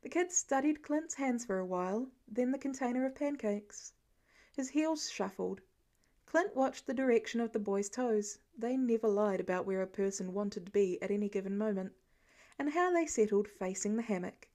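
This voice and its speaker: A woman reading fan fiction aloud as an expressive scripted narration. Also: on the high side, normal speed.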